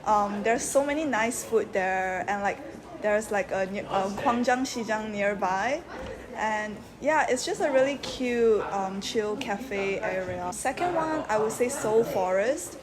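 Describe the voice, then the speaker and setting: A young woman student in a relaxed, casual way, listing her favorite places to visit. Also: mid-range voice, a little slow, crowd noise. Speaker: summer school student. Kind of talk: casual recommendations.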